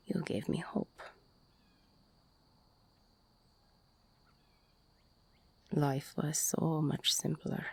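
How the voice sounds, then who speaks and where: A woman playing an older, tough ex-con girlfriend in an intimate audio roleplay, tender, teasing and insecure, confiding haltingly in a husky, half-whispered voice. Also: sits low, full character voice. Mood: raw, accusing. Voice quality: husky, gravelly, breathy.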